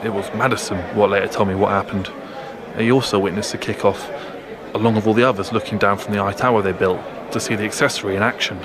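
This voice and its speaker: An actor playing a blunt, world-weary British army sergeant of the First World War in an audio drama, with a colloquial London accent. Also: male voice, on the low side, steady tone, fast, crowd noise, close to an everyday voice. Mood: weary, recounting.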